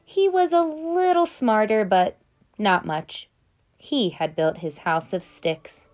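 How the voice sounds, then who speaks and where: A woman voicing a sly, smooth-talking male wolf who tells his side of the story in a chatty, conspiratorial way, read aloud from a children's picture book. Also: medium pitch, slow, a little mellow, faint music behind, natural voice.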